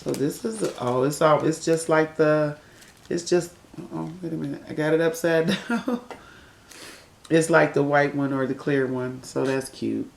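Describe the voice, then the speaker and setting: Woman, raspy from a cold, gravelly, casual chatty vlogger, roomy echo. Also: low voice, a little slow, slight background noise. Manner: chatty, admiring, talks to viewers as y'all. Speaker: unboxing vlogger. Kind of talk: casual unboxing chat. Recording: echoey room.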